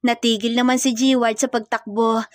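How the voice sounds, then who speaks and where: A woman's voice: on the high side, level tone, normal speed, dry recording, studio quality. A woman with a Filipino accent reading a scripted dramatic story as its narrator.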